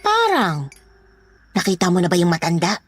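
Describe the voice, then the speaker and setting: A woman's voice: lowish voice, wildly swooping pitch, moderate pace, slightly rough, full character voice. An actress playing an older female security guard, nosy and gossipy, in a horror audio drama. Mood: inquisitive. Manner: inquisitive, gossipy.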